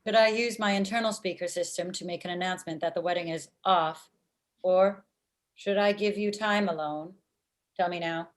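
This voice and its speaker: Woman playing a deadpan female robot matchmaker. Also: on the low side, lightly acted. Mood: matter-of-fact. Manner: formal, deadpan, measured phrasing. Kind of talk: comedy script table read. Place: indoor room at home. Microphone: video call.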